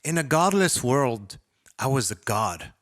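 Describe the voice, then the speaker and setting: A man from Lebanon reading his own short story as a first-person narrator, with expressive, dramatic delivery. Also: mid-range voice, unhurried, no echo, studio quality.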